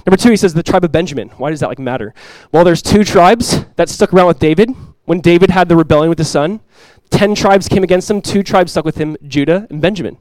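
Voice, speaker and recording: A man with a fairly high voice preaches in an earnest, conversational way. He keeps asking his listeners rhetorical questions. The sound is dry and close to the mic. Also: fast talker, a little mellow, no room sound, rough recording. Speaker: pastor. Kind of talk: expository sermon.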